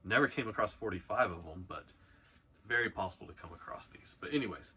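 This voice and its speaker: A man with a fairly low, bright and forceful voice presents a countdown like a video host. He talks informally and leans hard on key items. There is some noise in the background of the recording. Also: lively intonation, quick pace. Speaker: host of a reselling video channel. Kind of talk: casual countdown commentary to camera.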